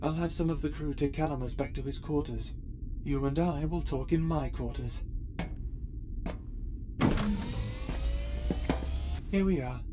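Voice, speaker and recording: A man performs a stern male starship captain in an audio roleplay, his voice pitched a little high. He talks with measured control and quiet menace, like a keeper of secrets. Music plays clearly behind him. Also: natural voice. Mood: calm, concerned. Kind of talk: scripted audio roleplay acting, addressing listener.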